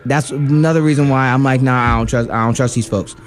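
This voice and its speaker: A man's voice: medium pitch, steady tone, quick pace, soft background music. A man co-hosting a radio show, giving heated political commentary with many fillers and restarts.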